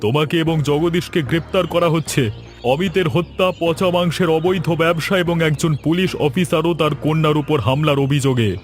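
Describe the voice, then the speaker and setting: A man's voice: on the high side, moderate pace, soft background music, lightly acted. A man voicing a stern, righteous police officer in a cartoon, speaking with accusing authority. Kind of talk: Bengali cartoon dialogue.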